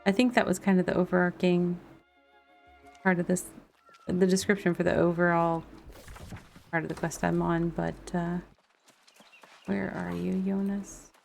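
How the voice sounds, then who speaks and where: A woman's voice: on the low side, flat delivery, measured pace, gravelly, pauses often, darkish tone. A woman doing casual live gameplay commentary, thinking aloud and musing to herself as she plays. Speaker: game streamer. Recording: music behind the voice. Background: background music and game sound.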